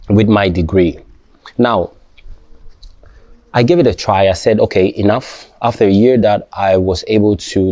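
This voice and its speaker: A man with a deep voice and a mellow, dark tone, speaking English as a second language in an unscripted vlog. He talks in fits and starts, restarting his sentences and checking that the listener follows. The recording is dry, with almost no room sound. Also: no echo. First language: not English. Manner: halting, restarts often, asks "you understand?". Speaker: vlogger. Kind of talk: casual personal talk to camera.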